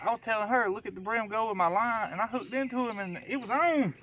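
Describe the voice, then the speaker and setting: An excitable man with a Southern US accent who repeats himself, chatting casually on a fishing vlog from a small open boat. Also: high-pitched, quick pace, highs cut off. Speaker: fishing vlogger. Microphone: action camera, worn or mounted nearby. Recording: outdoor action camera with background noise.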